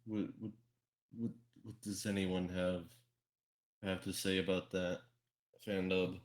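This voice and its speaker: A man's voice: sits low, little pitch movement, takes it slowly. A man hosting a casual podcast chat, informal and free with swearing. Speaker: podcast host.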